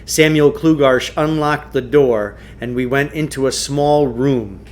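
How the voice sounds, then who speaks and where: A man reading a storybook aloud as narrator, in a deliberate, expressive way. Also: mid-range voice, slight background noise. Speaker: teacher reading a book aloud.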